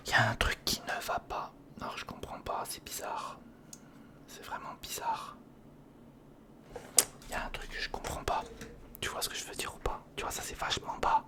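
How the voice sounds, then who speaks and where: A breathy, unvoiced whisper carries this ASMR commentary. The speaker mutters casually to themselves while working with their hands, repeating short phrases in informal slang, close to the mic. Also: very high-pitched, huge pitch swings, moderate pace, long pauses. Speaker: ASMR video creator. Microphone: close-up studio condenser or handheld recorder.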